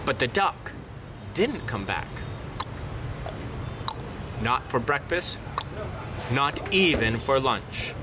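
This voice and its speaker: A man's voice: medium pitch, sing-song, takes it slowly, pauses often, very noisy. A man reading a children's picture book aloud in a theatrical way, drawing words out dramatically. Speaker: storyteller.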